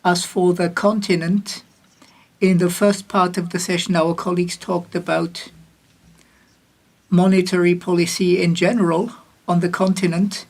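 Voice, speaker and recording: A woman working as a simultaneous interpreter for a conference chair. Her voice sits low and barely moves in pitch. She keeps a measured pace, with occasional restarts and self-corrections as she follows the speaker. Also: level tone.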